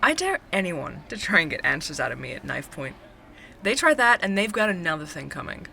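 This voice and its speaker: Feisty young barista woman, bright voice, casual and outspoken, faint cafe noise behind her. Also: fairly low pitch, sing-song, brisk, vocal fry, heavily acted. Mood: feisty, bantering. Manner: casual, bantering. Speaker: head barista being interviewed. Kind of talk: audio drama, casual chat.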